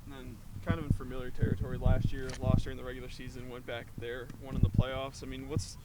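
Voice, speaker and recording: A reporter asks quick, loosely worded questions from well away from the mic. His pitch sits mid-range for a man, and clear background noise runs under him. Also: flat delivery. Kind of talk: interview questions. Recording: distant, noisy mic.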